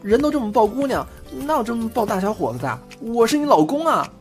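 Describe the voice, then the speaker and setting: A man's voice: extremely high pitch, big pitch swings, a little fast, heavily acted. A man acting a bashful, cheeky young man who stammers and gets flustered with his older boyfriend in a Chinese audio drama. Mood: flustered, protesting. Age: young adult. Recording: loud background music.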